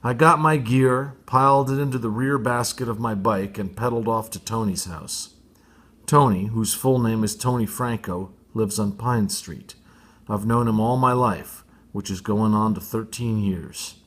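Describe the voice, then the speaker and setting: A man with a fairly low voice reads a novel for young readers. His phrasing is deliberate and emphatic, in an expressive audiobook style. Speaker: storybook reader.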